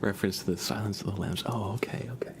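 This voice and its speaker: Soft-spoken man over gentle guitar. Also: on the low side, fairly even intonation, quick pace, creaky. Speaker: livestream host and ASMR streamer. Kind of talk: soft casual livestream chat between songs.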